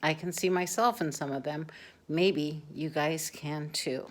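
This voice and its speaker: A woman with a fairly low voice reads a picture book aloud. She narrates informally, slipping in chatty asides for young listeners. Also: a little slow. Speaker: storyteller.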